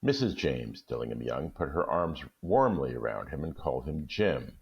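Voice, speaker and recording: A man reading a classic short story aloud in a steady, measured way. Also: a bass voice, lo-fi sound. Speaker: guest reader.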